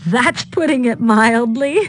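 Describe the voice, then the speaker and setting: An actress playing a playful, reluctant princess of nearly twenty in an animated game, with a girlish, protesting manner. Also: on the high side, a little slow, lightly acted. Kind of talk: animated game cutscene acting.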